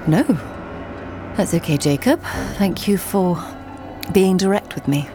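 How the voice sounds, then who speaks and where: A woman acting as a proud, cultured woman of the 1800s in an audio drama. Her voice sits fairly low, and she speaks with sardonic, theatrical haughtiness and a sharp tongue. Music plays clearly behind her. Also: unhurried, close to an everyday voice. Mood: guarded, hurt.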